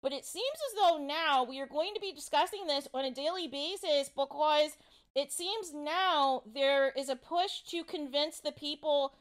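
A woman hosting informal gossip commentary, speaking emphatically and repetitively, often addressing her listeners directly. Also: sits high. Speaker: online commentary show host.

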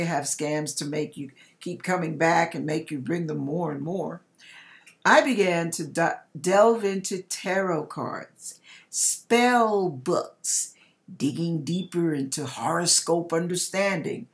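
A middle-aged woman reading a personal testimony aloud in a deliberate, dramatic storytelling manner, with pauses. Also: fairly low pitch. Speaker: host reading a viewer's testimony. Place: home kitchen, indoors.

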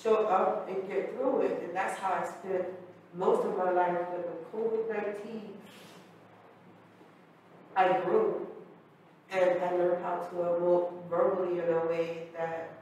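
A woman shares a personal story to a gathered audience in a gallery, her delivery measured and earnest. Her voice stays fairly flat in pitch. The camera catches her from a distance, with heavy room echo and a faint hum. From Turkey. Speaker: audience member sharing a story. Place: art gallery, live audience. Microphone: far camera mic. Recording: echoey gallery from far off.

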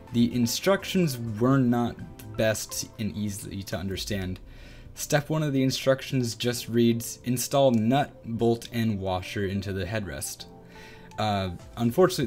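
A young man in his twenties giving a scripted review of flight sim gear, with a voice a bit weak from illness, recorded on a close desk mic in a small gaming room. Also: mid-range voice. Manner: earnest, steady read-through. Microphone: close desk mic, wearing headphones.

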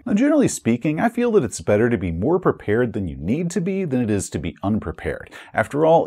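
Animated, slightly husky man. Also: mid-range voice. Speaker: host giving advice to game masters. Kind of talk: scripted advice talk to camera. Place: small furnished room at home, indoors. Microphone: lapel mic.

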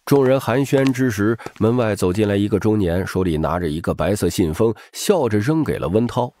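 A man's voice: on the low side, lively intonation, a little fast, dark tone, slight background noise. A man giving dramatic scripted narration of a crime novel as an audiobook narrator. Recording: music bed behind the voice.

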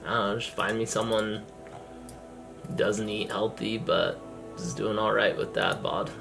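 A man in his thirties with a higher-pitched voice, chatting playfully while gaming at home as vlog host. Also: measured pace, gravelly. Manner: playful, joking, excitable. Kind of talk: casual chat while gaming. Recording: music in background.

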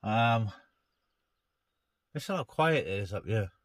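A man's voice: fairly low pitch, expressive, slow pace, dry recording, clean studio sound. A man operating a CB radio, chatting casually to other operators and to his viewers. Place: inside a parked car on a hilltop.

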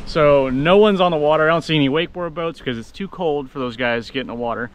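American man, higher-pitched voice, noisy outdoors. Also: fast. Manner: laid-back slangy bro talk, swearing. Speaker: fishing vlogger. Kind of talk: casual chat to camera. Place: outdoors on a kayak on open water. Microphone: action camera close by. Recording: outdoor wind and boat noise.

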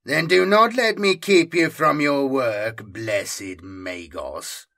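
A man's voice: mid-range voice, takes it slowly, creaky, bright, forceful tone, full character voice. A man voicing a hulking demonic warlord in an audiobook, grave, menacing and commanding in manner. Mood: impatient, imperious.

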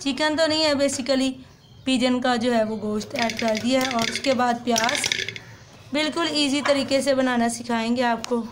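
A woman's voice: high-pitched, moderate pace, noisy background. A young woman explaining a home recipe step by step in a chatty, casual way, recorded on a phone outdoors at home. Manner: chatty step-by-step explaining, frequent religious blessings. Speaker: home cooking vlogger. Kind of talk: casual cooking demonstration.

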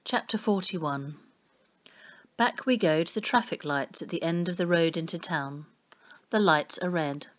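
Female storybook reader, lower-pitched, expressive narration for children, muffled lo-fi recording. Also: measured pace. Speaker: audiobook reader. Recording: dull, lo-fi sound.

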